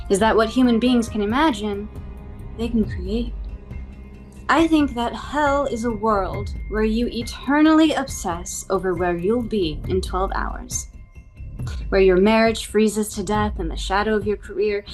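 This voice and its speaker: A woman playing a cool, controlled, persuasive corporate boss in a table read, speaking in measured, deliberate speeches. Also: fairly high pitch, lightly acted. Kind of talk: live table read of a TV script.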